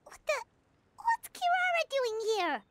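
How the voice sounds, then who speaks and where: A woman voicing a tiny, excitable, childlike floating companion in a video game, chirpy in manner. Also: very high voice, a little slow, heavily acted. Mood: puzzled. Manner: chirpy, refers to self in third person. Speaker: game character voice. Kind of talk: animated game voice acting.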